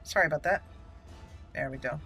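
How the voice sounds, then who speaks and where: A woman's voice: deep voice, a little fast, a bit bright, faint music behind. A woman giving casual, chatty video game commentary, talking through each step as she plays. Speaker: video game let's play host.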